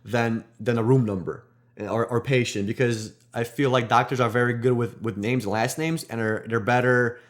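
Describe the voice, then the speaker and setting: A man's voice: mid-range voice, fairly even intonation, normal speed. A man co-hosting a nursing podcast, talking in earnest casual chat and often repeating words as he restarts. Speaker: nurse podcast co-host.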